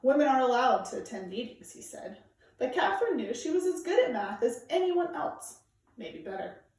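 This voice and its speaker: A woman reading a children's nonfiction book aloud in a measured, emphatic way, phrasing it for young listeners. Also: high voice, very animated, darkish tone. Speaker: storybook reader. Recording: very echoey room, distant microphone.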